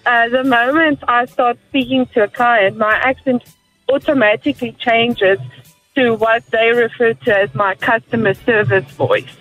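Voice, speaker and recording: A woman's voice: on the high side, fairly even intonation, measured pace, a bit bright, no echo, soft background music, low-quality recording. A woman caller talking in a friendly, chatty way. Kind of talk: phone-in call.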